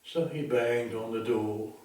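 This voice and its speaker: A man telling a darkly comic bedtime story in a deliberate, theatrical, mock-sympathetic way. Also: medium pitch, darkish tone. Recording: very echoey room, distant microphone.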